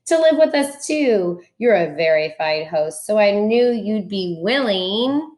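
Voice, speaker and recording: A woman's voice: medium pitch, expressive, unhurried, a little mellow, lightly acted. A woman voicing a fresh, pushy, carefree little storybook bird with a chirpy, presumptuous manner.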